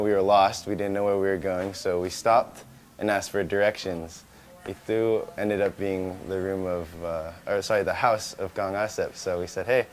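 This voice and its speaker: A man's voice: low-pitched, slight background noise. A young American man from California, founder of a small company, talking casually in an interview with many restarts and Indonesian words mixed in. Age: young adult.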